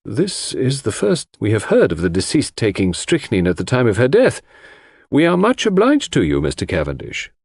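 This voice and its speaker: A man voicing a brisk, formal coroner who questions witnesses with official courtesy in an audiobook. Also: mid-range voice, wildly swooping pitch, vocal fry, darkish tone, husky, dry recording, studio quality, exaggerated voice. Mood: brisk, courteous. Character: brisk, formal, courteous male coroner presiding over inquest.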